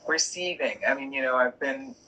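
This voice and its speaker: A woman guest on an online live call, speaking in a slow, reflective coaching style and posing questions to listeners. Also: rumbling low, sharp tone, a little hiss or hum, rough recording. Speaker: workshop leader, interview guest.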